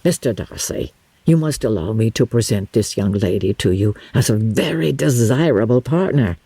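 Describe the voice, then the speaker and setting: Lady doing a chatty gallant older gent from a classic novel, flowery n fawning, husky, really up and down. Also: a bass voice, expressive, moderate pace, warm tone, no room sound, pitched below the performer's own voice, exaggerated voice. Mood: gallant, coaxing. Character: genial, courtly, talkative older gentleman, fawning.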